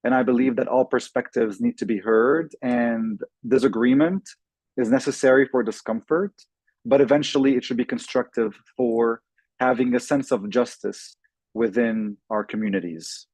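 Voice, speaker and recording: A man's voice: mid-range voice, fairly even intonation, normal speed, a little mellow, dry recording. A man from Lebanon introducing himself as a panelist over a video call, fluent and listing, with occasional ums. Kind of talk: self-introduction on a webinar panel.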